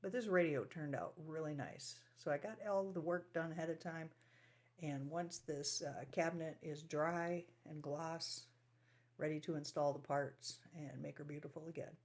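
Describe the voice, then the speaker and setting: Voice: mid-range voice, fairly even intonation, normal speed. A speaker casually narrating a hands-on restoration project as the host, with folksy, colloquial phrasing.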